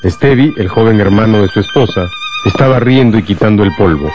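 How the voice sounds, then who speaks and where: A man's voice: lowish voice, expressive, a little fast. A man narrating a radio drama from a script, as a storyteller. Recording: dull band-limited sound, music underneath.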